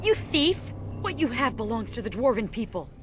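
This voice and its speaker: A woman's voice: fairly high pitch, big pitch swings, normal speed, noisy background. A woman playing a stern, fatalistic dwarf warrior in a video game, speaking in an earnest, wry way. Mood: suspicious, indignant.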